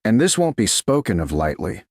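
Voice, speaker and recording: A man voicing a composed young noblewoman who speaks in a measured, earnest, plain way. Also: on the low side, big pitch swings, no room sound, studio quality. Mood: quiet resolve. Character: composed noblewoman, young adult, guarded but candid.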